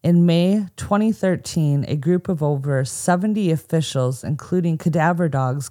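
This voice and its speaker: Mellow American woman narrating true crime. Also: deep voice. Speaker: podcast co-host. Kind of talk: true crime storytelling, scripted narration with casual asides.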